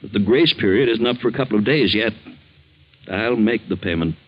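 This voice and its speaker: Fretful low-voiced father, old radio. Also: breathy edge. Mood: haunted, worried. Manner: fretful, halting, earnest. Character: anxious middle-aged father, haunted by doom. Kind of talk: 1940s radio acting. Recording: dull vintage 1940s radio recording.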